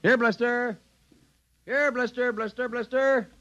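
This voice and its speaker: A man's voice: very high-pitched, fairly even intonation, measured pace, sharp tone, heavily acted. A man with an American accent playing a breezy, offhand military husband and father in a 1950s radio drama. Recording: muffled 1950s radio recording.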